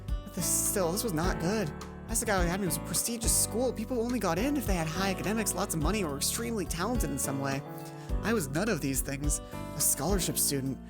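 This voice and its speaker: A woman's voice: fairly low pitch, fast talker, over loud music. A woman hosting a let's play, reading a visual novel aloud and acting out dialogue, with casual asides.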